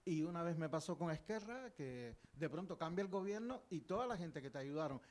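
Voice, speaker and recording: A man's voice: fairly high pitch, lively intonation, a little fast, a little mellow. An older man from Cuba, speaking earnestly from the audience at a panel, with many fillers and restarts. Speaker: audience member, historian. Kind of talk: public panel discussion.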